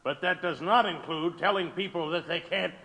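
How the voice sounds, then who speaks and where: A man's voice: high-pitched, moderate pace, pushed and bright. A man playing a brusque, exasperated big-city mayor in an audio drama, curt, sarcastic and commanding. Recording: long echoing hall reverb.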